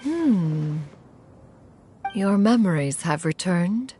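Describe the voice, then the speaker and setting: A woman's voice: lowish voice, lively intonation, extremely slow, warm tone, faint music behind. A woman voicing a proud, formal female immortal inventor in a video game, with lofty archaic phrasing. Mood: measured.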